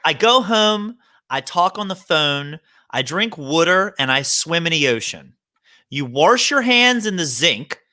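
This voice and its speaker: A man's voice: fairly high pitch, big pitch swings, unhurried, bright, forceful tone. A man with a Baltimore accent, co-hosting a casual comedic chat and mimicking voices. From Baltimore, Maryland. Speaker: podcast co-host.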